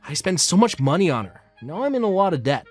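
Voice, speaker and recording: A melodramatic, self-pitying grown son from a comic dub, acted by a woman. The voice sits low and swings widely as it whines and pleads. Music plays underneath. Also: very animated, fast, a little mellow. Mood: smug, scheming. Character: selfish whiny adult son begging his mother for money. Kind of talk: manga dub voice acting. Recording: music behind the voice.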